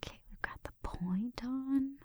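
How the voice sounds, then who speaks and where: Slow, breathy whispering woman, ASMR roleplay. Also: high-pitched. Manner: gentle, unhurried, murmuring step-by-step narration. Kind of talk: ASMR roleplay, soft personal attention. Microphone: close microphone, dry sound.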